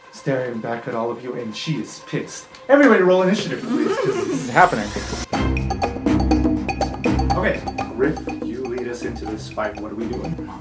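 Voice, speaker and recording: A game master narrating an improvised tabletop fantasy game in a casual, expressive storytelling way. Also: medium pitch. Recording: roomy echo, guitar music behind. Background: guitar music.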